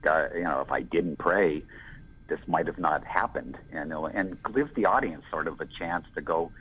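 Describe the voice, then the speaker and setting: A man in his sixties with a gravelly, gruff voice, giving a rambling, reflective interview answer with lots of fillers. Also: rumbling low, moderate pace. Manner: rambling, reflective, many "you know" fillers. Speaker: interview guest, filmmaker. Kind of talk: casual conversational interview. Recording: dull lo-fi audio.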